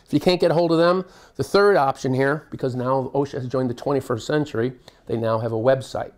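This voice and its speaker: A man giving a live workplace safety presentation to a room of listeners, with a booming voice and a jokey, conversational manner. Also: brisk. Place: meeting room with an audience.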